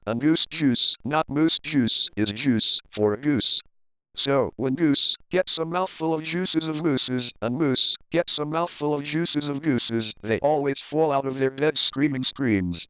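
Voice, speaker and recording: Higher-voiced man reading a children's storybook. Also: takes it slowly, smooth, dry recording, low-quality recording. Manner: expressive, rhythmic reading of rhyming verse. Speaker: storybook narrator. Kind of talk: children's picture-book readalong narration.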